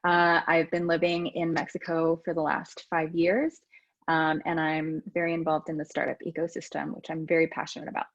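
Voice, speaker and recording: Podcast host, Texan woman, low pitch, relaxed and chatty, lo-fi remote call sound. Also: moderate pace. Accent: Texas. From United States. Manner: relaxed, with frequent ums and restarts. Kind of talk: casual conversational interview. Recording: dull lo-fi audio.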